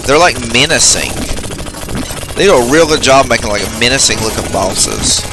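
A man's voice: medium pitch, very animated, moderate pace, noisy background. A man giving casual, off-the-cuff commentary as he goes through a video game. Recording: game music behind the voice. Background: video game music.

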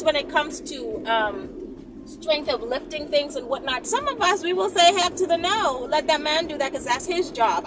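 A woman, talking emphatically and sassily to camera in a casual, opinionated vlog, often tagging points with a questioning okay. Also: sits high. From the Bahamas. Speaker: vlogger. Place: inside a moving car. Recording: distant mic, noisy moving car. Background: road and vehicle noise.

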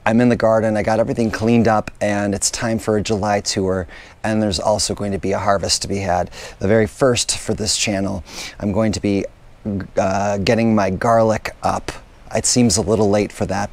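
A man in his thirties, hoarse after losing his voice, hosting a relaxed garden tour outdoors with a lapel mic, talking casually with lots of fillers. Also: lowish voice. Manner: chatty, relaxed, with many fillers. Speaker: gardening channel host.

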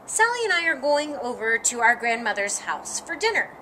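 A woman's voice: on the high side, big pitch swings, normal speed, noisy background. A woman voicing a glum, put-upon young boy in a storybook, complaining in exasperation. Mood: plain. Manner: groaning, complaining.

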